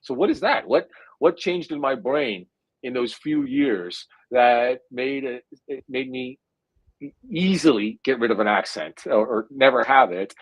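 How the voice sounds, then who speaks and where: Guy in his 40s, jersey accent, lots of uhs, thinks out loud, dry close sound. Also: mid-range voice, no room sound, muffled recording. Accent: New Jersey. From India. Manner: thoughtful, hesitant, full of drawn-out "uh" fillers. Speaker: guest neuroscientist. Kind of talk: conversational interview answer.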